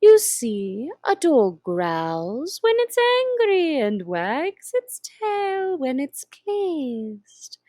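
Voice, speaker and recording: A woman putting on a sly, slow-drawling storybook cat. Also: sits high, huge pitch swings, mellow, no room sound, studio quality, pitched above the performer's own voice, heavily acted. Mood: teasing. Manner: slow, drawn-out, teasing delivery. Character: sly grinning cat, teasing and mysterious. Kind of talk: storybook reading.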